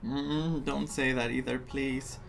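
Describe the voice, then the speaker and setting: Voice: medium pitch, fairly even intonation, takes it slowly, vocal fry. A game streamer giving casual, musing reactions in murmured asides while playing through a story.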